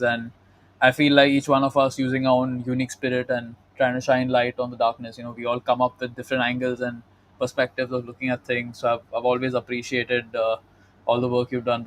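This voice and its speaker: This is a man hosting a podcast in a casual, easygoing style. He leans on fillers and speaks to his guest with open, effusive praise. His voice is mid-pitched and plain. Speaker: podcast host. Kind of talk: casual interview chat.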